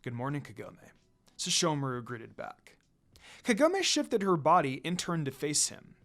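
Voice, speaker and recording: A man reading a fan fiction story aloud in an expressive narrating style. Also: a little high, unhurried. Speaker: fan fiction reader, channel host. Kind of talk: expressive scripted story narration with casual asides. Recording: music under the voice. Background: music.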